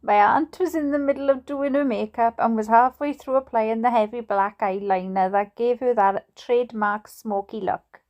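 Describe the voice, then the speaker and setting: A Welsh woman reading a storybook aloud in an animated, amused manner. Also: a little high, normal speed, few pauses. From Wales. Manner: animated, amused, prone to giggling. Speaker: reader of a bedtime book. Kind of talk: storybook narration read aloud.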